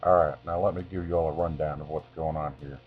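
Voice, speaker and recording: Low male voice, theatrical storyteller, deliberate delivery, dull lo-fi recording, guitar music behind. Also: steady tone, slightly rough. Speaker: video creator reading own short stories. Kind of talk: dramatic reading of a comic short story.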